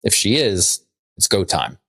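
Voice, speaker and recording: A man with a fairly low voice chats casually as a podcast co-host. He delivers trivia and jokes dryly, in a loose comedic style. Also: very animated, unhurried, fairly bright tone, breathy edge.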